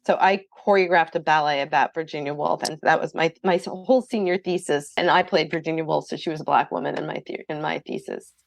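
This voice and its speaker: A middle-aged woman with a lowish voice speaks earnestly and thoughtfully as a podcast guest. The sound is close and dry, with no room around her. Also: fairly even intonation, fast, creaky, hardly any pauses, dry recording. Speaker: guest author. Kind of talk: podcast interview.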